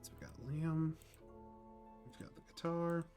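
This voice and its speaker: A man's voice: fairly high pitch, fairly even intonation, a little slow, darkish tone, soft background music. A man streaming a game and giving casual running commentary as he plays. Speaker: streamer, speedrunner. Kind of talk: casual live gaming commentary.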